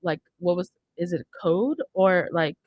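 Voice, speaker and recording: A woman's voice: lowish voice, a little slow, highs cut off, a little hiss or hum. A young woman hosting a casual music podcast, chatting into a close studio microphone. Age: young adult. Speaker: radio show host. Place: indoor studio. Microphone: close studio mic on boom arm, headphones.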